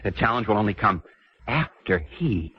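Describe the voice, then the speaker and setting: An actor playing an unhinged, grandiose composer with a theatrical, erratic delivery in 1940s radio detective style. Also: male voice, lowish voice, very animated, normal speed. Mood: manic glee. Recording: muffled, noisy old radio recording.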